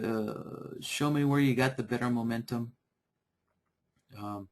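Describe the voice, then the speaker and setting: A man teaching trading software in a live online session, losing his voice from a dry throat and clearing it often, talking in a halting, unhurried way. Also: medium pitch. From Idaho. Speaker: software trainer. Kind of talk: live webinar screen-share tutorial.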